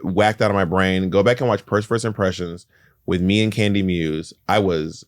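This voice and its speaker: A man co-hosting a podcast in casual chat, teasing and prodding with quick questions. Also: deep voice, steady tone, no room sound, clean studio sound. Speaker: podcast co-host.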